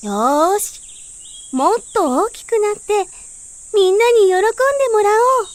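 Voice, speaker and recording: Woman voicing an eager high-pitched little flower sprout. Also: lively intonation, unhurried, darkish tone, no room sound. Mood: determined. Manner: stretching, exclamatory, childlike. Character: eager young flower sprout, newly awake and excited. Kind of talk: children's picture-story character acting.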